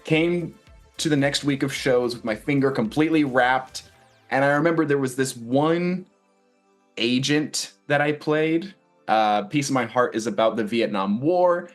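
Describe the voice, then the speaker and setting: A man's voice: medium pitch, measured pace, faint music behind. A man, a stage actor being interviewed, chatting casually in a joking, rambling storyteller's way. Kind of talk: casual promotional interview chat.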